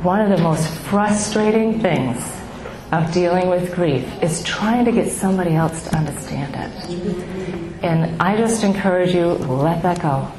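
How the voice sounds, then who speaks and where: Soft-voiced woman in an echoey room. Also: on the low side. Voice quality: soft, small voice she calls baby-like. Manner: halting, many ums and restarts, heartfelt. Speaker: speaker at a grief support gathering. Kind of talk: personal testimony to an audience. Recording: echoey room with background noise.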